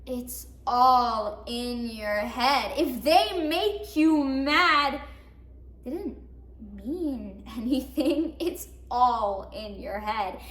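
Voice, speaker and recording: A young woman performing a dramatic monologue as a bitter, wry psychiatric patient, with sardonic, theatrical emphasis that moves between hushed and loud. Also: high-pitched. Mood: mocking, agitated. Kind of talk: dramatic monologue, competition interpretation. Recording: roomy echo, faint noise, distant mic.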